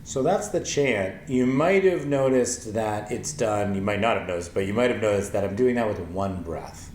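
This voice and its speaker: Low-voiced man teaching yoga calmly. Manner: slow, gentle, thoughtful, with many ums. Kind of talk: calm spoken yoga lesson with chanting. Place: small wooden-floored room with paper screen, indoors. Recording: echoey room, faint ambient noise.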